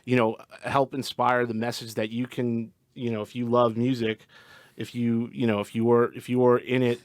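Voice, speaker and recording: A man's voice: mid-range voice, level tone, moderate pace, no echo, studio quality. A man in a band, answering as a guest in an earnest, rambling way with many repeated words. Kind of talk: casual interview answer.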